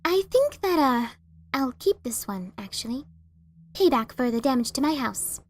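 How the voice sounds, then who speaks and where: A woman's voice: sits high, big pitch swings, normal speed. A woman voicing a guarded, curt mother pony mare in a cartoon fan story reading. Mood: dry.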